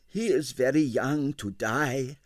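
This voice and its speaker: A man voicing a gloomy male dancer who speaks slowly and sadly. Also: medium pitch, no room sound, studio quality, natural voice. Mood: doleful. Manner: slow, sad, soft.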